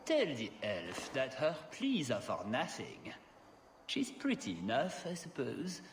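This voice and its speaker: A haughty male noble from a fantasy game, sounding distant in a roomy space. He drawls with languid disdain and very slow pacing. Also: mid-range voice, huge pitch swings. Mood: disdainful. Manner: supercilious, drawling. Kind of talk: video game voice acting. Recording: roomy, distant, faint background noise.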